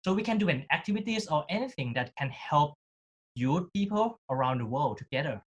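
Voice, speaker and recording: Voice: medium pitch, big pitch swings, brisk, breathy edge, highs cut off. A network co-founder describing the group's start, speaking casually in simple phrasing. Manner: casual, non-native phrasing. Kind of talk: panel conversation.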